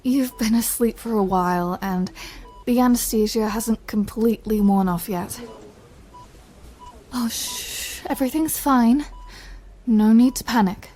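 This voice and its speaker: A woman playing a gentle, patient recovery-room clinician, speaking softly in an ASMR roleplay. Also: fairly high pitch, measured pace, natural voice. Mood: gentle, reassuring. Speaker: voice actor in an audio roleplay. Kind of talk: soft ASMR comfort roleplay.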